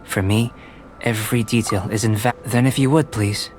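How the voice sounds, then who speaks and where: A man voicing a calm, studious young alchemist in a video game, speaking in a measured, formal, detached way. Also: on the low side, noisy background, lightly acted. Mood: composed. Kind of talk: video game character dialogue. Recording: game music behind the voice.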